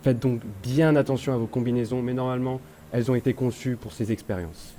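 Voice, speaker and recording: A young man playing a steady spaceship engineer in a sci-fi audio drama, instructive and reassuring. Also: medium pitch, fairly quick, slight background noise, natural voice. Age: young adult. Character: male ship's engineer, steady, reassuring briefer.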